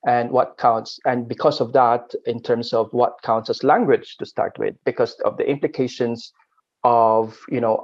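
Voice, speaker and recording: Halting Filipino man at a panel, muffled. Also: medium pitch, creaky, darkish tone. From Philippines. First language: Aklanon. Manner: halting, with frequent word repeats. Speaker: academic panelist. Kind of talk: academic roundtable talk.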